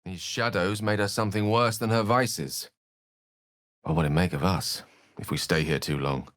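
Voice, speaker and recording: A learned, self-possessed wizard from a fantasy video game. His voice sits a little low in a dry, studio-clean space. He shapes ornate phrases with care, theatrical flair and dry wit. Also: steady tone, normal speed, a bit bright, no room sound. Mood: thoughtful. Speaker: voice actor in a fantasy role-playing game. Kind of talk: theatrical game dialogue in short separate lines.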